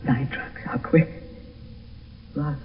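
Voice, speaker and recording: A man's voice: slow, far from the mic, close to an everyday voice. Man acting desperate young lover, echoey old radio. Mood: tender despair. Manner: theatrical, impassioned, declamatory. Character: grief-stricken, desperate young male lover at a tomb. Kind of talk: 1970s radio Shakespeare acting, verse. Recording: muffled, echoey, hissy old radio broadcast.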